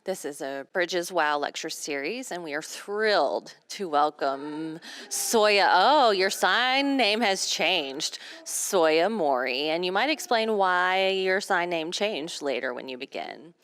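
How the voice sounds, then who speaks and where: A woman working as a sign language interpreter, voicing a signed seminar talk in measured, phrase-by-phrase delivery. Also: on the low side, a bit bright, smooth.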